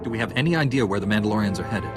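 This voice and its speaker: An actor playing a confident young Jedi Knight in a video game, plain-spoken and wry. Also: male voice, on the low side, animated, very fast, music in the background, lightly acted.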